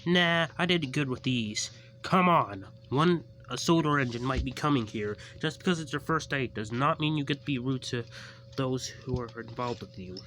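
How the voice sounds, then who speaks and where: A man's voice: on the high side, expressive, normal speed, few pauses, a bit bright, slight background noise, voice pushed up. A man voicing a cross male engine driver, snapping and scolding.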